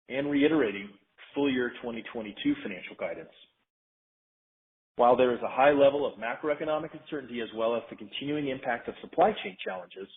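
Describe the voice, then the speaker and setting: Male corporate presenter, businesslike scripted delivery, mid-pitched voice, thin telephone-band sound. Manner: formal, measured, businesslike. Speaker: company finance executive. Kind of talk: scripted earnings call presentation. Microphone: conference call line. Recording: narrow tinny phone-line sound.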